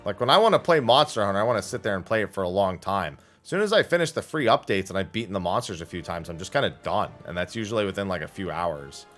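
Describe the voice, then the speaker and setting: Man with low, lively-pitched streamer chat. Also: quick pace, hardly any pauses, soft background music. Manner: blunt, opinionated, casual swearing. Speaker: livestreamer. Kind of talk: casual gaming stream chat.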